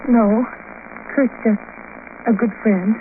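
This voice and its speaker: A woman's voice: on the high side, steady tone, slow pace. An actress playing a devoted young woman who pleads with intense sincerity in 1950s radio drama style. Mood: urgent. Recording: scratchy, noisy, narrow-band 1950s radio recording.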